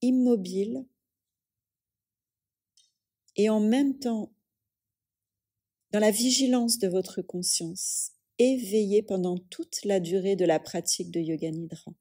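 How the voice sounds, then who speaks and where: A woman guides a yoga nidra relaxation in a calm, soothing manner. Her voice is mellow and relaxed, at a medium pitch. She speaks very slowly, in measured phrases with long pauses between them. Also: husky.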